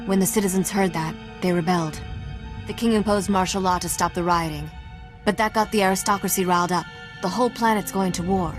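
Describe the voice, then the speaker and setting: Wry, self-assured young smuggler princess played by a woman, low-pitched, sly and sarcastic game character, faint music underneath. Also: steady tone, fairly quick, lightly acted. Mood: serious, wry. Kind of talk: scripted video game dialogue.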